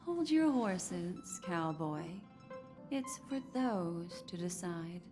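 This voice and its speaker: A woman's voice: on the low side, expressive, drawn-out words, over music. An actress voicing a languid, mysterious, teasing woman in a video game.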